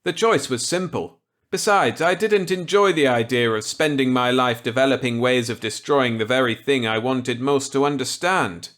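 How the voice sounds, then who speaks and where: A man's voice: mid-range voice, normal speed, fairly bright tone, voice pushed up, close to an everyday voice. A man voicing a genial, wry, unrepentant scientist in a storybook reading, with clipped, breezy delivery. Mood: wry, assured.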